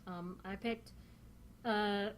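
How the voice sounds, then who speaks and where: A woman's voice: medium pitch, fairly even intonation, very slow, background noise. A woman with a central Northern Californian accent chatting casually through a questionnaire, rambling with many fillers. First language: English. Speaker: vlogger. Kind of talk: casual chat answering a dialect questionnaire.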